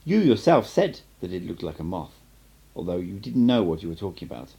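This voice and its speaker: A man reading as cryptic sorcerer mentor, low, animated. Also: creaky, breathy edge, heavily acted, low-quality recording. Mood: solemn, emphatic. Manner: emphatic, measured, instructive. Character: assured male sorcerer mentor, patient and cryptic.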